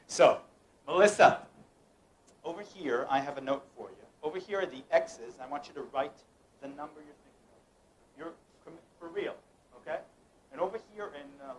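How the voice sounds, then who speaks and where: A man's voice: on the high side, a little hiss or hum, poor audio. Playful young man running a mind-reading act. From United States. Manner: playful, teasing, directs audience. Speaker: mentalist performer. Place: room at a home gathering.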